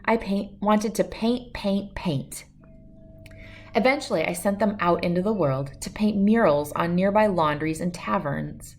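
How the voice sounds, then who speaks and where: A woman reading a children's picture book aloud as a first-person story, with expressive, clearly phrased narration. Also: mid-range voice, unhurried, slight background noise.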